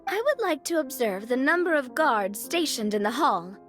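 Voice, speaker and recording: A woman's voice: high voice, big pitch swings, normal speed, fairly bright tone. A woman voicing a plucky, confident young female adventurer in a game, breezy and playful. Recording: faint music behind the voice.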